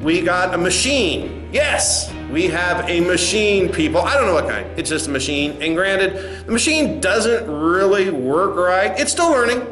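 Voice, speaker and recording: A man's voice: high-pitched, sing-song, measured pace, few pauses, fairly bright tone, heavily acted. A man playing a smug, dismissive corporate spokesman in a comedy sketch, stammering through condescending doublespeak. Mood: smug, evasive. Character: smug, dismissive male corporate spokesman facing angry creators. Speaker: spokesman at a press address. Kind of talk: satirical comedy sketch speech. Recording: roomy echo with faint music.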